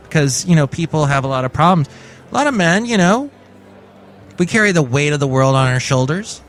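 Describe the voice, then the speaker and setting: A man from California hosting his own podcast in a casual, rambling monologue full of jokey asides. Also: fairly high pitch. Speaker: podcast host.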